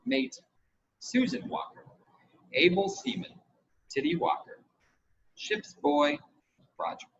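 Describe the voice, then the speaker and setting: A man voicing an eager, commanding boy captain in a storybook, brisk and orderly. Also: extremely high pitch, wildly swooping pitch, creaky, fairly bright tone, voice pushed up, full character voice. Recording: muffled home recording with hiss.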